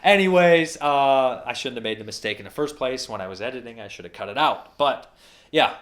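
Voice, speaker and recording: A man hosting a casual review podcast, reading out listener comments, slightly hoarse and animated when joking. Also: mid-range voice, fairly quick. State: slightly hoarse, losing his voice. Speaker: podcast host.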